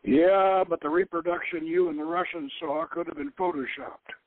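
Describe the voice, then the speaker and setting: A man voicing a gentlemanly, skeptical archaeologist in an emphatic, wry manner for a dramatic novel reading. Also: fairly high pitch, fairly even intonation, moderate pace, no echo, close to an everyday voice. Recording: dull, lo-fi radio sound.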